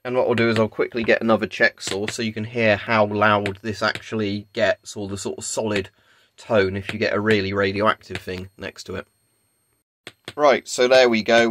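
Low-voiced man, casual demo, noisy recording. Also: fairly bright tone. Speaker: video creator demonstrating gadgets. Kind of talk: casual off-the-cuff hands-on demonstration. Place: small carpeted room at home, indoors. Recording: clear background noise, clicking device. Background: Geiger counter clicks and crackle.

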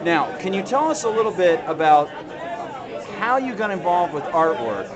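A man doing an excited, effusive on-the-spot interview at a crowded indoor art show, recorded on a handheld camera. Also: high voice, moderate pace, dull audio. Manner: enthusiastic, effusive. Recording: busy crowd noise. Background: crowd chatter.

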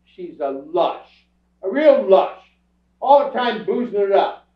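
A man playing a defensive, self-pitying, streetwise bum under questioning in a staged radio crime drama, protesting in halting, ungrammatical speech. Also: high-pitched, sing-song, exaggerated voice. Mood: griping. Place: theatre stage before an audience. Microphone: far camera. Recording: roomy theatre stage from far off, muffled.

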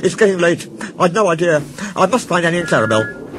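A man's voice: lowish voice, sing-song, moderate pace, vocal fry, noisy background. A man voicing a self-important, easily flustered male tank engine in a storybook, with a brash, blustering manner.

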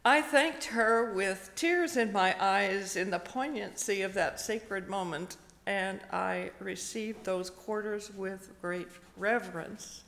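An older woman, a retired pastor, preaches slowly and gently, telling a personal story with pauses, at a pulpit microphone in a church. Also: mid-range voice. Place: church sanctuary, indoors. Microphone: stand microphone at a pulpit. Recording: long reverb of a church hall.

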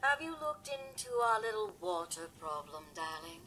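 A woman playing a seductive, haughty supervisor robot in a video game, with an affected socialite drawl and breathy voice. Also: fairly low pitch, very animated, normal speed, bright, forceful tone. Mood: haughty, coy.

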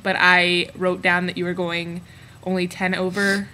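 Bright-voiced chatty teen girl. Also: lowish voice, little pitch movement, a little slow, a little hiss or hum, poor audio. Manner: laid-back, chatty storyteller. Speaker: co-host. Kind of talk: casual webcam chat. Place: bedroom at home, indoors. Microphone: built-in laptop webcam mic.

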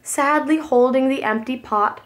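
A young woman reads a children's story aloud. Her voice is a little high and her pace is slow, with careful, expressive phrasing meant for small children. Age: young adult. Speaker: preschool teacher reading aloud. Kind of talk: children's picture book read-aloud.

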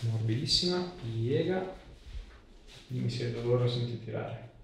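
A man's voice: mid-range voice, measured pace. A man, talking a patient through a hands-on examination with short questions and instructions. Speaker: osteopath or chiropractor examining a patient. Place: small hard-walled treatment room, indoors. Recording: echoey room, distant mic, faint noise.

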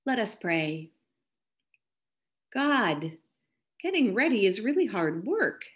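A woman minister reading scripture and a devotional reflection in a careful, measured way. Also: medium pitch, very animated.

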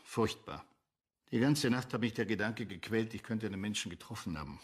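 An actor playing an earnest, conscientious intellectual man in a radio play, husky and argumentative. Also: lowish voice, brisk. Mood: subdued, serious. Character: Jakob Ammon, earnest, conscientious left-wing intellectual man.

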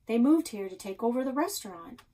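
A woman's voice: a little high, lively intonation, a little fast, warm tone, breathy edge, pitched above the performer's own voice. An American woman voicing a nosy, outspoken young girl in a storybook, eager and blunt. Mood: earnest. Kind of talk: children's storybook read-aloud.

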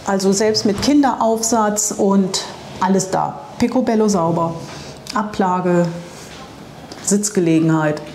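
A woman presents an informal travel vlog. Her voice sits at a medium pitch, and she is chatty and enthusiastic. She wears a lapel mic, with some ambient noise behind her. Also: steady tone, unhurried, long pauses, a little mellow. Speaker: camper van travel vlogger and presenter. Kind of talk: informal guided tour to camera. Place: campsite, outdoors and in small tiled rooms. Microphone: clip-on lapel microphone. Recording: clear ambient noise on location.

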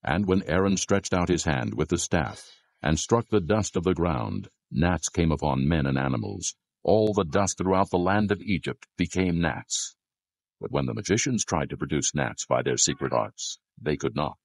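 Guy narrating dramatized scripture, super deep voice w lots of fry, solemn and measured, dry studio sound. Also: lively intonation, no echo.

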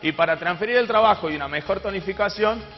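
A man's voice: high voice, fast talker. A young Argentine man instructing and encouraging as a fitness trainer during a live exercise demonstration. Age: young adult. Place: TV studio, indoors. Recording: dull echoey TV audio, music behind.

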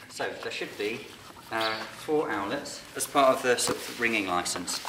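A middle-aged man, a licensed bird ringer, calmly explaining his fieldwork while handling birds in a farm barn. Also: medium pitch, moderate pace. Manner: calm, quiet, hands-on explaining with fillers. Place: open farm barn on straw, outdoors air. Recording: noisy outdoor camera recording.

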